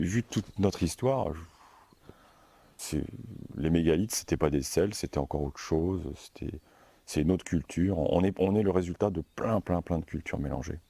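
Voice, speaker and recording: A man reflects slowly in an interview. His voice is deep, dark and husky, recorded dry. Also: no room sound.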